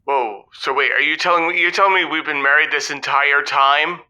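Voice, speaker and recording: A man's voice: on the high side, fairly even intonation, a little fast, sharp tone, clean tone. A man playing a flustered, panicky husband and father calling a radio show, rambling, stammering and repeating himself. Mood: incredulous, panicky. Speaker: caller. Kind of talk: improvised comedy phone-in.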